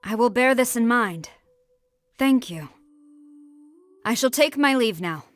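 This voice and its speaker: A woman's voice: a little high, animated, slow. A woman voicing a stern, proud female military commander in a video game, with a commanding, formal, clipped delivery. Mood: formal, restrained. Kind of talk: scripted video game voice acting.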